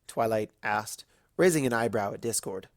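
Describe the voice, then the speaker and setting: A man's voice: lowish voice, moderate pace, no echo, studio quality. A man reading a scripted story aloud as its narrator.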